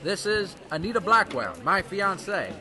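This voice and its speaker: An actor playing a brash, slick bootleg gin smuggler in an old-time radio drama, breezy and charismatic. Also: male voice, high-pitched, very animated, moderate pace, bright, forceful tone, exaggerated voice. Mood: breezy, confident.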